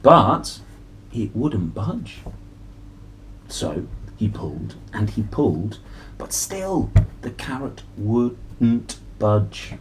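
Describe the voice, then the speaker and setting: Male picture-book reader, mid-pitched, very lively intonation, playful and exclamatory for a young audience, some background noise. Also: poor audio. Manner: playful, exclamatory, drawn-out storytelling for children. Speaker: host reading picture books aloud. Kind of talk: animated storybook read-aloud with chatty asides.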